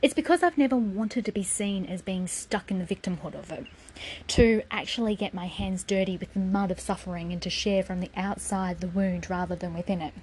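A woman speaks with raw, defiant intensity, swearing freely as she lays out a personal account. Her voice sits at an ordinary middle pitch and now and then sinks to a near whisper. A faint hiss of room noise lies underneath. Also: fairly quick, a little mellow, rough recording. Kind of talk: personal spoken essay.

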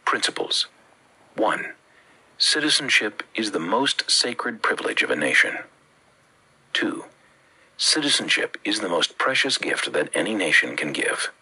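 A man from the Bahamas with a Bahamian accent, reading a religious teaching with measured, emphatic phrasing. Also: lowish voice, very animated, pushed and bright, breathy edge, rough recording. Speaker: preacher. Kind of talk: read-aloud religious teaching.

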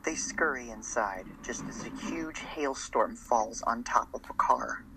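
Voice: medium pitch, unhurried, gravelly, noisy background. A narrator reading scripted scene descriptions for an amateur radio drama.

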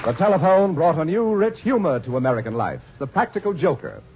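A man's voice: fairly high pitch, sing-song, fairly quick, crowd noise, background noise. A man announcing scripted sketches on a 1940s radio comedy show, formal and mock-serious. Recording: dull old radio broadcast.

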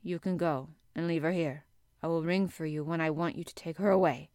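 A woman voicing a miserable middle-aged gentleman in an audiobook, with a worried, absent-minded and fretful manner. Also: sits low, steady tone, fairly quick, smooth, no echo, clean studio sound, natural voice. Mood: weary, fretful. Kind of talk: audiobook character acting.

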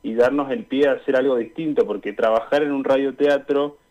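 A man's voice: medium pitch, steady tone, brisk, a little mellow. Dull-sounding call, young Argentine man. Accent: Argentine Spanish. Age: young adult. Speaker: student guest, radio drama performer. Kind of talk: casual interview answers.

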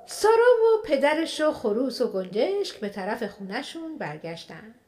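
A woman narrating a children's radio play in an expressive storybook style. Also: on the high side. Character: storyteller of a children's fantasy tale.

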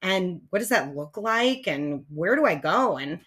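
A woman in her forties with a raspy voice, co-hosting a podcast in spunky, excitable casual chat. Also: lowish voice, measured pace. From United States. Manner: spunky, excitable, lots of fillers. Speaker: podcast co-host.

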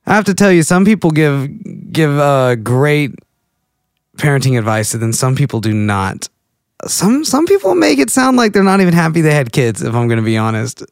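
A Texan man in his late twenties rambles along in a loose, goofy monologue, often breaking off his own sentences. His voice sits fairly high for a man and sounds smooth and clean. The recording is dry and close, with no room sound. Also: sing-song, normal speed, no echo. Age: late 20s. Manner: goofy, rambling, self-interrupting.

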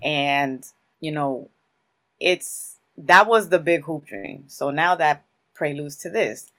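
A guest in their thirties from Newark speaks, answering in long, emphatic stories full of casual street phrasing. The voice sits at a middle pitch, neither high nor low. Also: expressive, slow pace, fairly bright tone. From Newark, New Jersey. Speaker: guest, creative in publishing.

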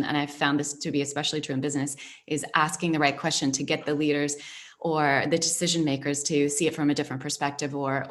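A woman with a fairly low voice hosts a relaxed conversation. She talks in a reflective, storytelling way, pausing often with soft fillers. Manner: reflective, storytelling, frequent "um" pauses. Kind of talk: casual interview conversation. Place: based in Spain.

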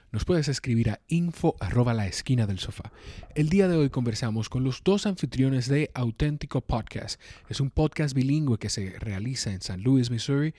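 Mellow Dominican man hosting a podcast. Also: medium pitch, dry recording, clean studio sound. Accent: Dominican Spanish with carefully pronounced S. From Dominican Republic. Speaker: podcast host. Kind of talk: conversational podcast interviewing.

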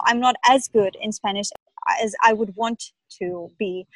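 A woman's voice: fairly high pitch, fairly bright tone, no echo, poor audio. A young woman doctor, not a native English speaker, answering interview questions in a thoughtful, hesitant way with many "like" fillers.

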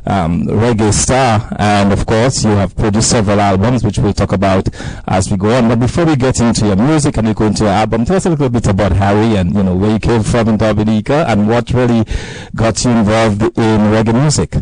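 A man's voice: lowish voice, brisk, hardly any pauses, a little mellow, dry recording, low-quality recording. A man hosting a live radio interview, hesitant and often repeating his words as he speaks.

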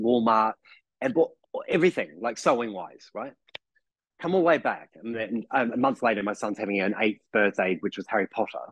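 Low-voiced rambling New Zealand man. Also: dry recording, low-quality recording. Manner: rambling, full of "you know" and restarts. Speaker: podcast guest. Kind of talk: casual chat.

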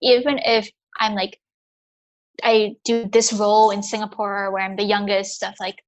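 A young woman answering as a guest in an easygoing conversation. She thinks aloud as she goes, pausing often and filling the gaps with small words. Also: mid-range voice, level tone. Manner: reflective, halting, many fillers. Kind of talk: casual interview chat.

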